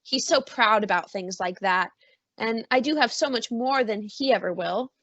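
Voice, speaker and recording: A woman playing a doting middle-aged mother in an old-time radio drama, fond and gently persuasive. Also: fairly high pitch, moderate pace, no echo, lo-fi sound. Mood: earnest, coaxing.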